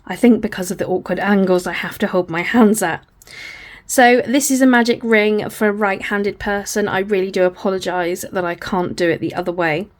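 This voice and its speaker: A hoarse woman, patiently talking through a crochet tutorial step by step, often apologising as she goes. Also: medium pitch, fairly even intonation, moderate pace. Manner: patient, apologetic, talks through each hand movement.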